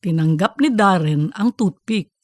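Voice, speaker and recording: A woman's voice: mid-range voice, expressive, a little slow, a little mellow, no echo, clean studio sound. A woman reading scripted narration for a comedic audiobook story.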